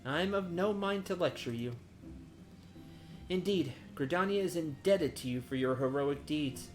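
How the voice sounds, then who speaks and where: A man voicing a calm, wise guildmaster and mentor of nature magic in a fantasy game, formal and measured. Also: fairly high pitch, voice pushed up. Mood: solemn, reassuring. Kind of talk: reading fantasy game dialogue aloud.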